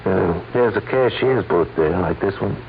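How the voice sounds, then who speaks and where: An actor playing a sly, flirtatious killer posing as a customer, with hesitant, coaxing small talk in a 1940s radio crime drama. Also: male voice, sits low, expressive, moderate pace. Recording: dull, noisy 1940s radio recording.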